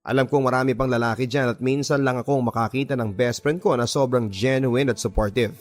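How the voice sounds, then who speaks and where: A man with a Filipino accent narrating a scripted first-person love story in an expressive radio storytelling style. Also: medium pitch, brisk. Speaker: radio drama narrator reading a listener's letter. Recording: voice over background music. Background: music.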